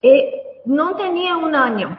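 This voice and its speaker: Brazilian woman, native Portuguese speaker, hesitant guest voice, slightly high, roomy far-off audio. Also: sing-song, lo-fi sound. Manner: halting, searching for words. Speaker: guest engineer. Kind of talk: radio interview answers.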